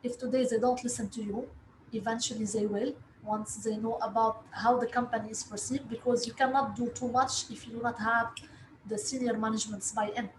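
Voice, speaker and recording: A woman from Lebanon, a native Arabic speaker, answering interview questions in a halting way with frequent filled pauses. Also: on the high side, fairly even intonation. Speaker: interview guest, investor relations director. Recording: echoey room with faint background noise.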